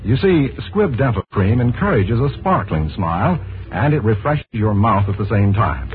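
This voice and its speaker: A man's voice: on the low side, very animated, moderate pace. A man announcing and reading scripted sponsor copy on 1940s radio, polished and emphatic like a salesman. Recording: tinny narrow-band 1940s radio broadcast.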